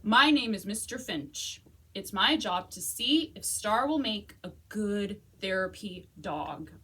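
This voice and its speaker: A woman's voice: medium pitch, lively intonation, takes it slowly, sharp tone, breathy edge, pitched below the performer's own voice, heavily acted. A woman voicing a polite, formal male dog-test examiner in a storybook. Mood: polite, official.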